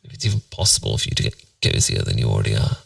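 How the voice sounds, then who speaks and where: Man as tender British boyfriend, bedtime ASMR. Gentle, musing, playful delivery with a gravelly edge, very dry sound. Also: rumbling low, big pitch swings, very fast, dry recording, distant mic, full character voice. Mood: affectionate, coaxing. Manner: soft, intimate, soothing, musing, occasionally near whisper. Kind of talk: ASMR boyfriend roleplay, sleep aid.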